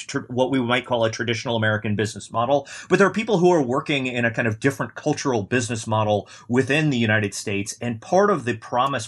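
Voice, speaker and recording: A man's voice: medium pitch, a bit bright. A man on a discussion panel, talking casually with many hedges and restarts.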